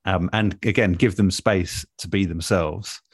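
A man in a relaxed interview, explaining things in a thoughtful, measured and earnest way as an experienced producer. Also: deep voice, breathy edge, no echo, clean studio sound. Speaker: interview guest, audio drama producer. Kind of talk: relaxed advice-giving conversation.

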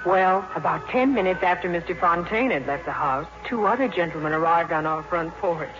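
Gentle reminiscing mother, animated intonation, old radio play, music behind. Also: on the low side, fairly quick. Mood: fond, reminiscing. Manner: fond, storytelling. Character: reflective, gentle mother reminiscing to her daughter. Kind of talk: 1950s radio drama acting. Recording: muffled old radio recording, loud music.

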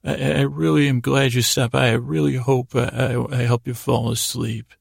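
Male podcast host, very gravelly fry, flat intonation, soothing, meandering bedtime monologue, halting, self-deprecating. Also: mid-range voice, dry recording, studio quality. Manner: meandering, halting, frequently derailing his own sentences. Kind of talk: rambling bedtime monologue to help listeners fall asleep.

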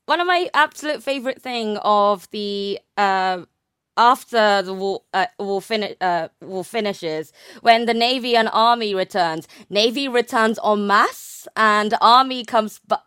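Clear-voiced young woman, close and dry. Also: mid-range voice, unhurried, fairly bright tone, dry recording. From Japan. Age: young adult. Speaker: academic guest, naval history researcher. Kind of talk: conversational interview explanation.

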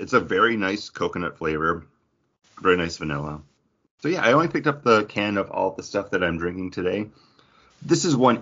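A man with a very low voice chats casually as a podcast co-host, jokey and enthusiastic. His intonation swings widely, and the audio is slightly dull and band-limited. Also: fairly bright tone, breathy edge. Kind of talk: casual chat.

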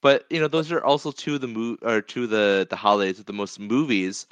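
A man's voice: fairly low pitch, fairly quick, clean tone, no room sound. A man co-hosting a podcast in casual conversation, talking in a rambling way and repeating phrases as he goes. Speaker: podcast co-host. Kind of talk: casual movie podcast chat.

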